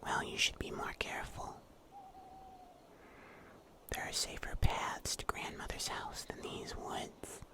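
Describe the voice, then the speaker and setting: A man playing a wry, protective huntsman in a breathy, whispered ASMR roleplay. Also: lightly acted. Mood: ominous, wry. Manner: slow, hushed, gently teasing. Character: mysterious huntsman in dark woods, wry and protective.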